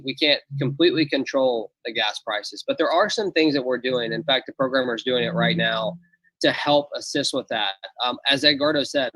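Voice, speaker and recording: A man's voice: medium pitch, sharp tone, dry recording, rough recording. A man hosting a live online call, upbeat and reassuring, talking casually with plenty of fillers. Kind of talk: casual live-stream chat.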